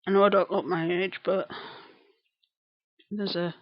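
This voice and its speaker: A woman's voice: on the low side, steady tone, a little slow, no echo, lo-fi sound. A woman in her thirties with a broad Lancashire accent, chatting casually on a live stream, greeting viewers and repeating herself as she rambles. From England. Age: 30s.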